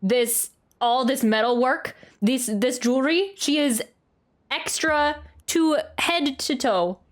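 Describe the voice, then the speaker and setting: Chatty, higher-voiced woman livestreaming a game. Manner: chatty, halting, thinking aloud. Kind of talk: casual live reaction commentary. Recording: game music under the voice.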